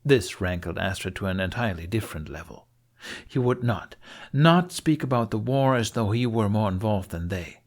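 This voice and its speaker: A man's voice: lowish voice, expressive, normal speed. A man narrating fiction as an audiobook reader, in a dramatic storytelling style.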